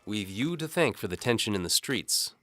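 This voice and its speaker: A man's voice: on the low side, lively intonation, moderate pace, pitched above the performer's own voice. A man playing a blunt, exasperated, commanding medieval sheriff in an audio drama. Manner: commanding, loud. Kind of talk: audio drama character acting.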